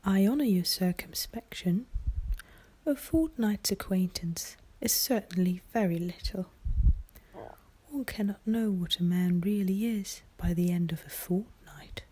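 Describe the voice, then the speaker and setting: A woman whispering as a wry, teasing gentleman. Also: medium pitch, slight background noise, natural voice. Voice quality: breathy whisper. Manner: measured, wry, formal. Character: dry, teasing middle-aged gentleman, husband and father. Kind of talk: whispered ASMR audiobook character reading.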